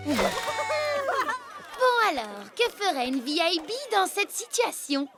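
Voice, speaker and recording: A woman's voice: high voice, very animated, takes it slowly, full character voice. A woman voicing a spoiled, snobbish young bug girl in a cartoon, with a bossy, affected manner. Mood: smug. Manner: bossy, affected, self-important. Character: spoiled, snobbish young female bug, mayor's pampered daughter. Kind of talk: animated cartoon dub acting. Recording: music behind the voice.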